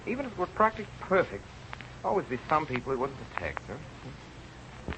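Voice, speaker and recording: An actor playing an earnest, hesitant young doctor and husband in an old radio drama. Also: male voice, on the high side, sing-song, moderate pace, creaky, pushed and bright, a little hiss or hum, exaggerated voice. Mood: anxious, pleading. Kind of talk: 1930s radio drama acting and narration. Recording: muffled old radio recording with music.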